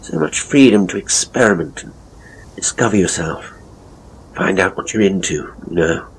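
Man playing a deep-voiced, pompous British ghost guide. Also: expressive, normal speed, creaky, breathy edge, heavily acted, low-quality recording. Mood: creepy, awkward. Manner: pompous, florid, theatrical, leering. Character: hapless, seedy, pompous male ghost tour guide. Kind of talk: dramatic monologue in a horror audio story.

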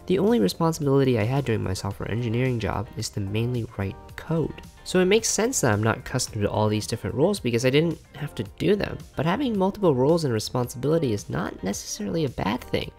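Young male narrator for a dev log: low, gravelly voice, informal explainer delivery, faint music underneath. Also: a little mellow. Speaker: software engineer and video creator narrating a dev log. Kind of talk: scripted narration.

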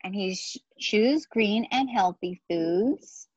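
A woman in her forties reads a children's book aloud at an unhurried pace. Her pitch moves around a lot as she explains things to young listeners. The sound is close and dry, slightly muffled and lo-fi. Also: animated, breathy edge, no room sound. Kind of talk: storytime read-aloud with casual asides to children. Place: outdoor patio beside trees.

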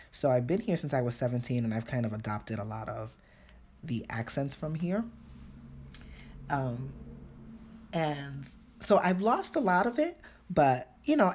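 A woman's voice: rumbling low, darkish tone. A woman from New York City with a New York accent and Spanish as her first language, chatting and laughing as she paints on a live stream. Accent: New York, Dominican Spanish-influenced. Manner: chatty, laughs often, talks while working. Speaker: painting tutorial host. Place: indoors at a home painting desk.

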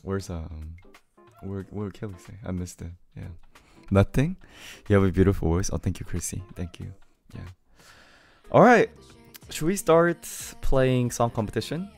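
A young man with a low voice chatting casually on a livestream, reading comments, laughing often and full of fillers. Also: expressive, normal speed, creaky, soft background music. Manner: laid-back, laughs often, many fillers. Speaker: streamer. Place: small home room with keyboard. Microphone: studio mic on arm near face.

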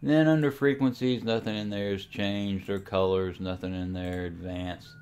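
A man giving an informal software tutorial, explaining things casually, step by step, as a voice-over. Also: on the low side, fairly even intonation, normal speed, clean tone. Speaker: amateur radio tutorial host.

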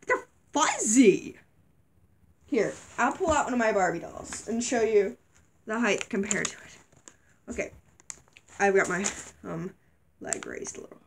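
A young woman vlogger chatting casually while unboxing toys, a little sick, her voice wearing thin, squealing excitedly at surprises. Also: mid-range voice. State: slightly sick, voice going. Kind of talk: casual toy unboxing chat. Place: dim bedroom, indoors.

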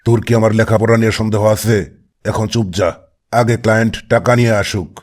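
Cartoon crime boss played by a man: quick-firing, impatient, scolding, music underneath. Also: lowish voice, little pitch movement, close to an everyday voice. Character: bossy, short-tempered male kidnapper gang boss. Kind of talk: animated cartoon voice acting.

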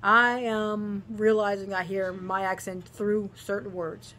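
A woman in her thirties with a Cajun accent and a naturally deep voice, rambling casually in a home video diary, full of ums and self-corrections. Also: flat delivery, takes it slowly, a bit bright. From Southern US. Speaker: vlogger, aspiring actress. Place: living room at home, indoors. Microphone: camera close at face level.

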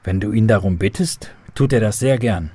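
A man's voice: lowish voice, normal speed, dark tone, slightly rough, no echo. A man playing an earnest believer who patiently explains faith to children in a scripted radio drama.

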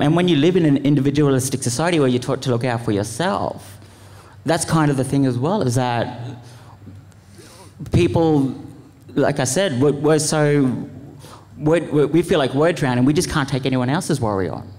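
Guy on a panel talking earnest and rambly, mid pitch, big echoey hall. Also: moderate pace, darkish tone. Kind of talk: impassioned, informal panel talk. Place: large event venue, live panel. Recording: echoey hall with long reverb.

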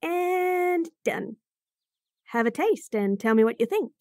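A woman's voice: sits high, animated, slow pace, darkish tone, no echo, clean studio sound. A woman voicing a bratty, sassy bunny-girl chef in a fantasy roleplay audio, teasing and talking straight to the listener. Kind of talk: F4M roleplay audio, acted monologue.

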